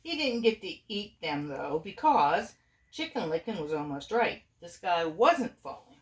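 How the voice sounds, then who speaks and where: A woman's voice: on the low side, big pitch swings, measured pace. A woman, a mother, reading a storybook aloud informally and expressively, with playful asides to her family. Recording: echoey room.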